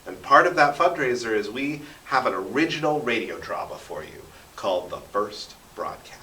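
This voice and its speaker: A man's voice: medium pitch, sing-song, normal speed, bright, forceful tone, a little hiss or hum. An American man telling stories conversationally as an interview guest, with dramatic flourishes. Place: echoey radio studio. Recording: roomy echo, distant from microphone.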